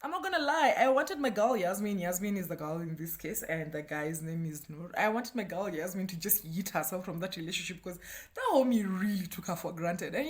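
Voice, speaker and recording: A Kenyan woman, a native Kikuyu speaker, reviewing books in a casual, slangy, self-deprecating chat. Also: lowish voice. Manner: chatty, slangy, self-deprecating, breaking often into laughter. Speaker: book review vlogger.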